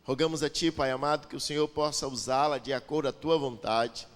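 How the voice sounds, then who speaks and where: A man's voice: medium pitch, fairly even intonation, fairly quick, in a large hall. A man pastor introducing a guest preacher and leading a fervent prayer to the congregation, repeating invocations of the Father and God. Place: church sanctuary with a PA.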